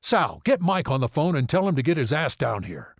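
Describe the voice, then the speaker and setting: A man voicing a loud, foul-mouthed, hot-tempered middle-aged father who talks brusquely, in an audiobook. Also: a little high, sing-song, fairly quick, no echo, clean studio sound, voice pushed up. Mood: irritated. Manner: yelling, brusque. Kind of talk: audiobook character acting.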